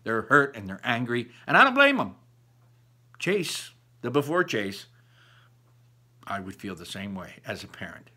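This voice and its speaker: Slow-paced man reading a storybook aloud. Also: medium pitch, big pitch swings, pushed and bright, slightly rough. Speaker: read-aloud narrator. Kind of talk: expressive children's book read-aloud with asides.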